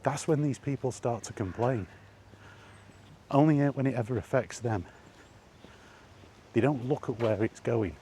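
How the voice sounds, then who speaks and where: A man's voice: medium pitch, measured pace, long pauses, warm tone, a little hiss or hum. A man and gaming vlogger giving a blunt, emphatic opinion rant while walking outdoors by allotments.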